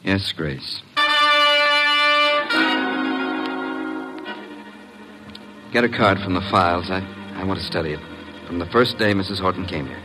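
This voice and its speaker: An actor playing a stern, cold doctor in an old radio drama, speaking firmly and dismissively. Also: male voice, deep voice, fairly quick, over loud music, natural voice. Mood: tense. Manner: clipped, firm, dismissive. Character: stern, cold young doctor rejecting a former lover. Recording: noisy old radio recording with clicks.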